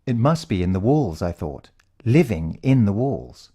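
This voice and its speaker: British male narrator, low and very mellow, for suspenseful first-person fiction. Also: sing-song, a little slow, husky. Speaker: audiobook narrator.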